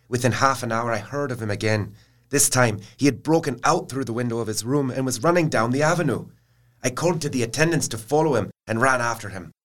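A man's voice: mid-range voice, lightly acted. Audio drama part: male asylum medic, played by an actor, middle register, businesslike, orderly delivery of a written report. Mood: urgent. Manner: formal, orderly, matter-of-fact. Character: dutiful male asylum doctor reading his formal report.

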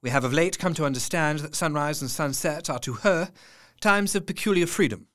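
An actor playing a composed doctor, narrating a diary in a formal manner. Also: male voice, a little high, fairly quick, fairly bright tone, lightly acted. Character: doctor Seward, composed diarist.

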